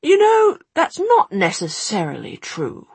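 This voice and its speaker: A woman's voice: sits high, wildly swooping pitch, a little slow, a little mellow, slightly rough, no room sound, voice pushed up, exaggerated voice. A woman voicing an earnest, bookish man who lectures eagerly. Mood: lecturing.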